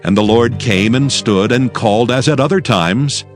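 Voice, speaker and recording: A man narrating a dramatized scripture reading in a solemn, measured, expressive way. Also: medium pitch, faint music behind.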